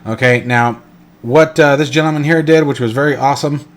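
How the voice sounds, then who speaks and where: A man's voice: medium pitch, fairly even intonation, a little fast. A congested man hosting a casual flight sim tutorial, rambling and correcting himself with lots of fillers. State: congested, stuffed up. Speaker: flight sim channel host. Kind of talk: casual screen-recorded tutorial.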